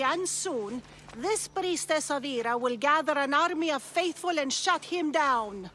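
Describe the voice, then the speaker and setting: A woman's voice: high voice, pushed and bright, a little hiss or hum, exaggerated voice. A woman playing a haughty, commanding and threatening ancient Greek priestess in a video game. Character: imperious, threatening female priestess of ancient Greece. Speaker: game character. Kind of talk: video game cutscene acting.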